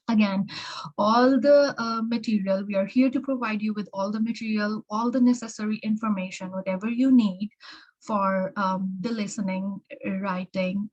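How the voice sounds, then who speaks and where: A woman's voice: medium pitch, breathy edge, low-quality recording. A woman with an American-influenced accent, an exam prep instructor giving informal tips in a chatty way, often repeating words and restarting. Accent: learned American English.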